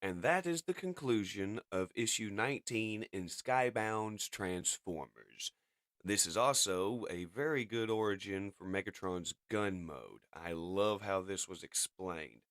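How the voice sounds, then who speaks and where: A man's voice: fairly low pitch, lively intonation, measured pace, a bit bright, dry recording, studio quality. A man narrating and commenting on a comic as its reader and reviewer.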